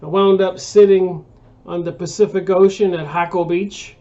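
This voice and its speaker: A man's voice: high-pitched, steady tone, normal speed, warm tone, muffled recording. An American man in his sixties, hosting a book review channel, talks in a casual, rambling monologue full of fillers and restarts. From United States. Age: 60s.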